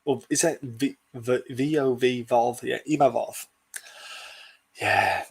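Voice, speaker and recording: A blunt man, rambling through his picks in a loose, unscripted chat. His voice sits at an ordinary middle pitch, and he swears casually without a second thought. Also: slow pace, creaky, slightly rough. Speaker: podcast host. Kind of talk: casual sports prediction chat.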